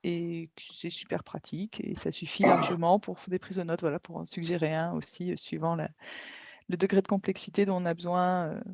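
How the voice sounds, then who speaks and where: A woman's voice: on the low side, level tone, fairly quick, gravelly, noisy background. A woman participant in an online workshop sharing her experience, rambling a little as she speaks.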